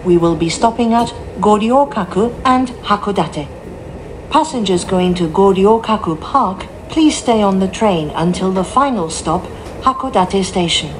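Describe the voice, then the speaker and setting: A woman's voice: mid-range voice, animated, slow pace. A woman giving scripted onboard announcements as a train announcer, formal, polite and carefully phrased. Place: inside a train, over the announcement system. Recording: noisy train cabin recording.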